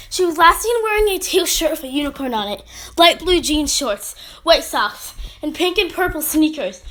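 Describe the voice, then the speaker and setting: A woman reading a scripted mock emergency broadcast as an amateur announcer, with a halting, stumbling delivery. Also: high voice, a bit bright. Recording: clearly noisy amateur recording.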